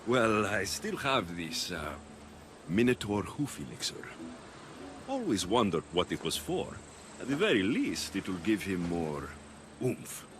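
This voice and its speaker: A man's voice: deep voice, wildly swooping pitch, unhurried, noisy background, exaggerated voice. An actor playing a battle-hardened Greek mercenary who is a doting, playful young father in a video game drama. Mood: tender, wry. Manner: playful, sing-song when talking to his baby.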